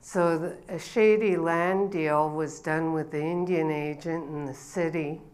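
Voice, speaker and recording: A woman in her sixties, an elder giving a welcome address on a stage, telling her family's history in an unhurried way with a body-worn mic. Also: deep voice, fairly even intonation, darkish tone. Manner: slow, unhurried storytelling with rising ceremonial calls. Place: dark event stage before an audience.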